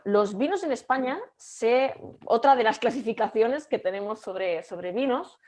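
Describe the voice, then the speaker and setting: A young Catalan woman with a Catalan Spanish accent, giving an online lecture over a video call and speaking haltingly with frequent fillers and restarts. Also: fairly high pitch, darkish tone. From Catalonia, Spain. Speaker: guest lecturer.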